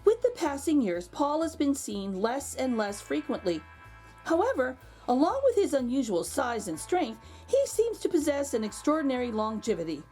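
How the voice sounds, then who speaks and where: A woman reading a picture book aloud to children as an expressive storyteller, with exclaimed asides to her young listeners. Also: sits high, moderate pace, soft background music, rough recording. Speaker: children's read-aloud host.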